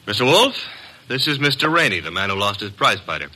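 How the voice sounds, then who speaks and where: An actor in a classic radio drama playing a brash, wisecracking young detective's assistant, breezy, sardonic and slangy. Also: male voice, fairly low pitch, big pitch swings, brisk, sharp tone, heavily acted. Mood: brisk. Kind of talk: 1940s-50s radio drama acting. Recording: noisy vintage radio recording.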